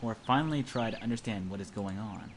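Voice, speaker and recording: A man's voice: fairly low pitch, fast talker. A man reading visual novel narration aloud and chatting casually in between, on an amateur recording. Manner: stumbles over words while reading. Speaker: amateur voice-over player in a group. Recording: noisy amateur home recording.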